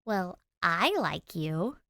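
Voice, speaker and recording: A woman's voice: fairly low pitch, very animated, very slow, vocal fry, fairly bright tone, dry recording, heavily acted. A woman reads as a young storybook girl, frank and curious. She speaks in a direct, eager, friendly way, recorded dry and close.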